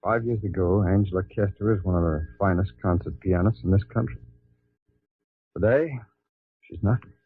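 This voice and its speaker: Muffled old radio recording, troubled husband, very husky male voice, grave, subdued. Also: low voice, moderate pace, pauses often. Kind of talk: old American radio drama acting.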